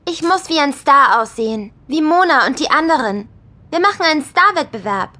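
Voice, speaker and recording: A woman's voice: high voice, lively intonation, normal speed, pushed and bright. A woman playing an earnest, eager young schoolgirl in a children's audio drama. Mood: anxious, eager. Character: earnest, questioning young schoolgirl with magic spells.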